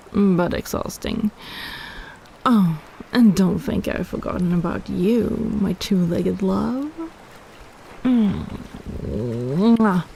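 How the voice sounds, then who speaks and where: A woman voicing a possessive, flirtatious female kraken in a romantic roleplay audio, teasing in a sultry, playful, unhurried way with sighs. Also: mid-range voice, gravelly, warm tone, slight background noise, heavily acted, poor audio. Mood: flirty teasing.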